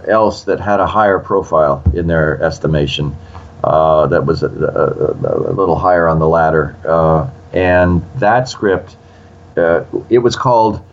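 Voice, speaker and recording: Older screenwriter guy chatting, lowish voice, animated, acting out quotes, faint music behind. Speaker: screenwriter guest. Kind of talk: casual interview storytelling.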